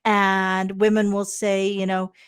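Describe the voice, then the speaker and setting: A woman's voice: mid-range voice, monotone, takes it slowly, smooth. Chatty middle-aged woman host. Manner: chatty and conversational, with some stumbles. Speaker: podcast host. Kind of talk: casual interview hosting.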